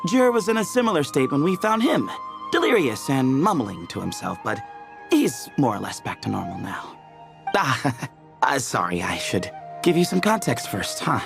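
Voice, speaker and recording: An actor playing an easygoing, teasing young man who chats playfully, with a gravelly voice. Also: fairly high pitch. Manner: playful, chatty. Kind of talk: game cutscene voice acting. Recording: studio voice with faint music.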